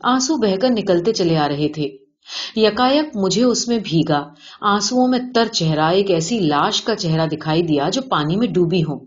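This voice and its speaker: A woman's voice: fairly low pitch, expressive. A woman reading a literary short story aloud as scripted narration. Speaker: audiobook reader of a short story. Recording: dull, lo-fi sound.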